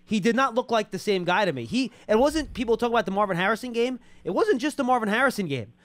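A man's voice: sits high, lively intonation, fast, dry recording. An American man, around his forties, hosting a sports talk interview with probing questions, close on a studio microphone. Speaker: sports show host. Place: small indoor studio. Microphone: close broadcast boom microphone.

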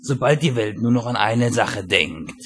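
A man's voice: fairly low pitch, expressive, measured pace, husky. Man acting out a bombastic villainous mad scientist. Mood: scheming. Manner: theatrical, gloating, bursts into shouting. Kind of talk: German fan dub of a video game cutscene.

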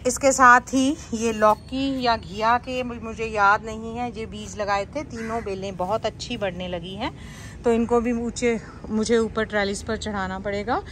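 A woman's voice: a little high, fairly even intonation, moderate pace, a bit bright, a little hiss or hum. A woman casually narrating a garden tour as a vlogger.

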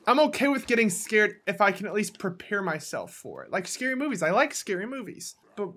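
A young man with a high-pitched voice for a man, giving playful, casual commentary while he plays, talking to himself and his viewers through a headset mic in a small room at home. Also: very animated, a little fast, breathy edge. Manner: playful, talks to himself and the viewers, sudden loud yelps. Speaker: gaming YouTuber.

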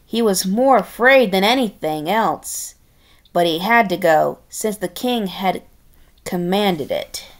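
A woman's voice: medium pitch, expressive, slow. A woman reading a storybook aloud expressively, with wry, sardonic asides. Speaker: storybook reader.

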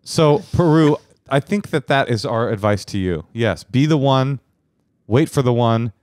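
A man's voice: medium pitch, lively intonation, a little slow, darkish tone, no echo. A man co-hosting a podcast, giving rambling, jokey advice and quoting sayings into a handheld mic in a living room studio. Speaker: podcast co-host. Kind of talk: casual advice chat. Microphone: handheld mic, headphones.

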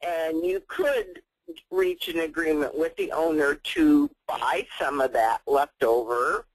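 A man's voice: a little high, slow pace, husky, dry recording, low-quality recording. A man moderating a webinar, reading out audience questions and adding brief acknowledgments.

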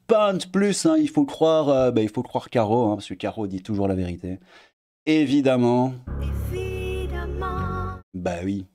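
Belgian man, thirties, sarcastic vlog commentary, low mellow voice, mock high-pitched jabs, home desk mic. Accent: Belgian French. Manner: sarcastic, animated, swings pitch up for mock emphasis. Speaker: YouTuber commentator. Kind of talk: casual political commentary vlog.

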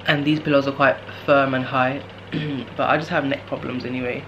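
A man's voice: medium pitch, flat delivery, normal speed, highs cut off. A young man in his twenties vlogging solo while traveling, talking casually and rambling to a handheld camera. He is worn out and has a sore throat. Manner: rambling, tired, talks to camera in fillers. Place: guesthouse room indoors, later noisy narrow street at night. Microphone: camera held at arm's length. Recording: handheld camera with clear background noise.

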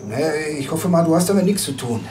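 A man's voice: on the high side, sing-song, a little fast, over music. Touchy, roomy-sounding investigator played by a man. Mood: uneasy. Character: touchy, evasive male local investigator, worn out. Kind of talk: German audio drama dialogue.

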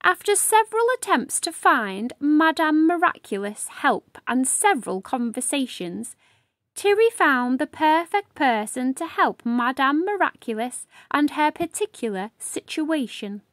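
A woman hosting a children's story time, reading aloud in an expressive, deliberate storytelling manner. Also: high voice, no echo, studio quality. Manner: animated, deliberate storytelling delivery. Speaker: children's storybook reader and host.

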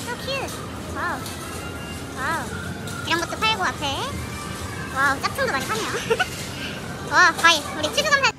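Bubbly high-voiced woman vlogging. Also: big pitch swings, measured pace, pauses often, loud music behind the voice, a loud crowd, low-quality recording. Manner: bubbly, exclaims often. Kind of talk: casual vlog chat while shopping. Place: busy outdoor mall and market.

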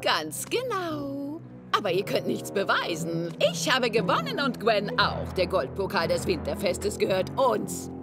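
A woman's voice: mid-range voice, big pitch swings, a little slow. A woman voicing a boastful, scheming older female villain in a German cartoon dub, haughty and self-important. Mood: smug. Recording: loud music underneath. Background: music.

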